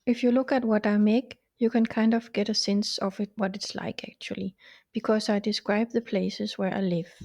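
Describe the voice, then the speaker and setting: A woman's voice: mid-range voice, fairly even intonation, moderate pace, creaky, darkish tone. A woman from Denmark, a fantasy writer talking in a cheerful, chatty way. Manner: cheerful, chatty, explaining plainly. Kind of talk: scripted conversation.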